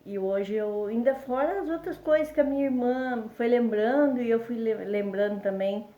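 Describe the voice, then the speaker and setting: A woman's voice: fairly high pitch, normal speed, roomy sound, phone-quality sound. An older woman from Brazil telling family memories in a rambling, casual way, full of fillers and restarts, and a bit congested. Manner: rambling, with many fillers and self-corrections. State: congested, a bit under the weather. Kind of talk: casual storytelling.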